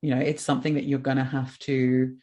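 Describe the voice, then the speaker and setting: A man's voice: mid-range voice, flat delivery, brisk, a little mellow. A man hosting a podcast in casual chat. He has a light voice that is not very masculine and talks openly and reflectively, rambling with fillers. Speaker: podcast host.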